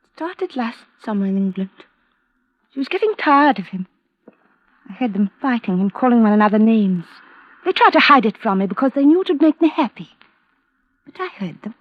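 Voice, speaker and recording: A woman's voice: on the high side, sing-song, normal speed, pauses often, dry recording. A woman playing a bitter, distraught young stepdaughter in an intense, petulant, overwrought old radio drama style. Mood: distressed recounting. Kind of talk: 1940s-50s radio drama acting. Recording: dull vintage radio recording.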